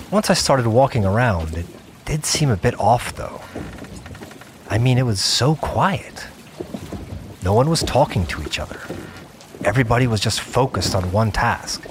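A man's voice: lowish voice, background noise. A young man playing a seasoned gamer, narrating his adventure in the first person in a conversational audio drama style. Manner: conversational, reflective. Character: wary, seasoned male gamer recounting his virtual adventure. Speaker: narrator and lead character.

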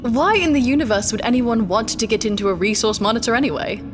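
Woman acting an anxious, defiant scientist, highish voice. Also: fast, over music, natural voice. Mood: insistent, defensive. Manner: urgent, exasperated, insistent. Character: defensive, pleading female neuroscientist, anxious but defiant. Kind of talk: audio drama acting.

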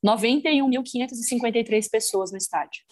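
A woman's voice: a little high, quick pace, heavy background noise. A woman from Brazil, a guest on a podcast, telling a story in casual chat with many fillers.

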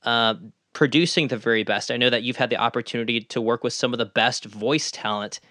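A man hosting a conversational interview, affirming his guest and gently leading into questions. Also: mid-range voice, fairly even intonation, a little fast, no echo, studio quality. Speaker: interviewer, host.

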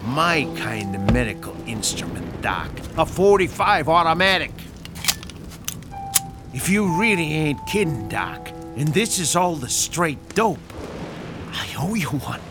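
Brief: a man voicing a streetwise mob boss, gravelly and high-set, slow and lively in pitch, music score behind. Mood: gruff. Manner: gruff, streetwise, menacing. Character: tough 1930s Chicago male mobster boss. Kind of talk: audio drama acting.

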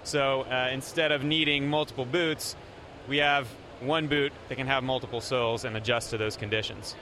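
A man representing a company, explaining product features in a steady way with frequent pauses. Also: medium pitch, a little hiss or hum.

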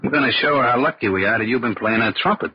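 A man's voice: mid-range voice, fast, very noisy, natural voice. An actor playing a lazy, scheming small-time crook with American tough-guy slang, in 1940s radio crime drama style. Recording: dull vintage radio, music and crowd behind.

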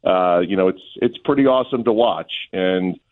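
A man speaking as a guest play-by-play announcer in a radio interview, giving conversational sports analysis in a measured, discursive way. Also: deep voice. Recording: thin phone-like line.

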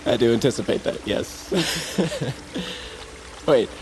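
An actor playing a reckless, cheeky young tribesman in an audio drama, playful, with halting mock-formal speech. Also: mid-range voice, animated, noisy background. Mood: sheepish, wry. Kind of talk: naturalistic audio drama acting.

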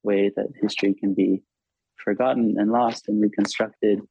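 Male author, Hebrew native speaker, deep voice, dry close sound, reflective and halting interview talk. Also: flat delivery, vocal fry, warm tone, husky, dry recording. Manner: halting, thoughtful, frequent restarts. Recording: dull lo-fi recording.

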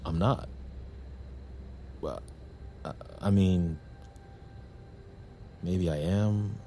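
An actor playing an earnest, awkward young army veteran in an audio drama, halting and confessional as he talks about buried shame and desire. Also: low-pitched, mellow, slight background noise. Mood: urgent, vulnerable. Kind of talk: naturalistic audio drama acting.

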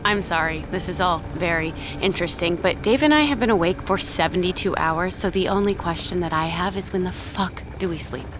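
An actress playing an exhausted American traveler, blunt, impatient and urgent. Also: female voice, fairly low pitch, fairly quick, few pauses, close to an everyday voice. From United States. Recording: noisy ambience behind the voice.

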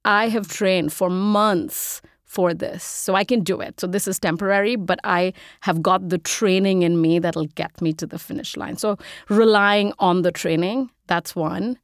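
A woman in her forties from India with an Indian accent, a guest in an interview talking in quick, animated bursts. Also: medium pitch, no echo, clean studio sound. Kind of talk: casual interview conversation.

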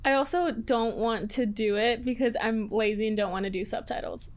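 A woman's voice: fairly high pitch, normal speed. A woman from Mexico hosting a podcast, chatting casually, laughing often and leaning on fillers. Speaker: podcast host. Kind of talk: casual chat.

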